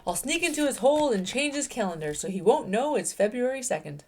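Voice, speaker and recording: A woman's voice: on the high side, lively intonation, a little fast, pitched above the performer's own voice. A woman voicing a keen, chattering little squirrel in a children's storybook, eager and full of schemes. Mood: excited.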